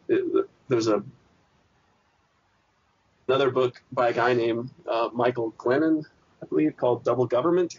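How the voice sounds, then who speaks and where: Gravelly husky-voiced academic man. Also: medium pitch. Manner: thoughtful, hesitant, many restarts and fillers. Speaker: interview guest, PhD candidate. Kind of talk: academic interview answers. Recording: dull lo-fi podcast audio.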